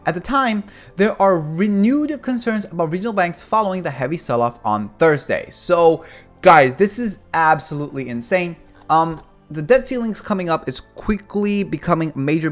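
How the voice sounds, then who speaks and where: A young man from Cuba hosts a finance channel, talking loosely and rambling as he turns to his viewers. His pitch rises and falls a lot as he talks. Faint music plays under his voice. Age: 20s. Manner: rambling, animated, addresses viewers as guys. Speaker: finance YouTuber. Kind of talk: casual market commentary.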